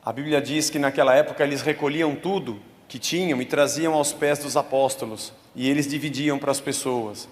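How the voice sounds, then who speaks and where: A man's voice: mid-range voice, fairly even intonation, brisk, few pauses. A Brazilian man, a pastor, delivering a conversational sermon in a church, mixing Scripture with casual slang. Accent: Brazilian Portuguese. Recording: long church reverb.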